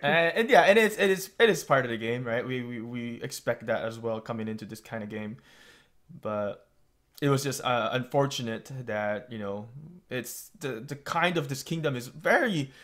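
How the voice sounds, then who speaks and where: A man's voice: medium pitch, expressive, normal speed, fairly bright tone. A man hosting a casual gaming talk, reflective, repeating words as he thinks and chuckling now and then. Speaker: channel host. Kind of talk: casual chat.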